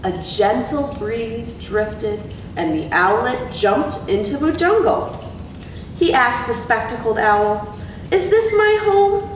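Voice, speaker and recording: A woman working as an educator, giving a talk and storytime reading for children with gentle, deliberate delivery. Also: expressive. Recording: very echoey room, distant mic, faint rumble.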